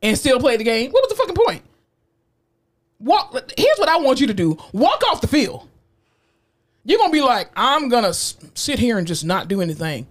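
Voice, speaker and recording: A woman co-hosting a casual podcast chat, blunt and animated, swearing freely and joking as she rants. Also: a little high, normal speed. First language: English. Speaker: podcast co-host.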